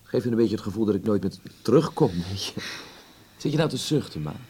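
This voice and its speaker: A man's voice: fairly low pitch, lively intonation, fast talker, mellow, slight background noise, lightly acted. An actor playing a restless, ambitious young man of twenty-one in a naturalistic radio play, talking casually and reassuringly to his mother. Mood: casual, fond. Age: young adult.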